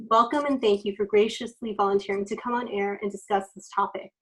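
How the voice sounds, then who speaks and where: A woman in her thirties from the United States, hosting a podcast discussion over a video call from her bedroom in a clear, measured way. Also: medium pitch, poor audio. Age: 30s. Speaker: podcast host. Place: bedroom at home, indoors. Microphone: video call from home.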